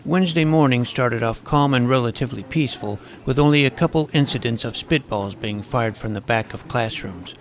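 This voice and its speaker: An actor playing a nerdy middle-school boy who narrates his own superhero exploits in a dry, self-important, deadpan way. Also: medium pitch, brisk, few pauses, slight background noise, lightly acted. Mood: earnest. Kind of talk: scripted audio drama narration.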